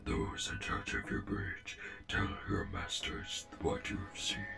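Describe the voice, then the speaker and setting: A man voicing a calm, formal robot officer in a comic dub, measured and deliberate, with a gravelly, whispery, synthetic-sounding voice. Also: lowish voice. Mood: calm, persuasive. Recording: music behind the voice.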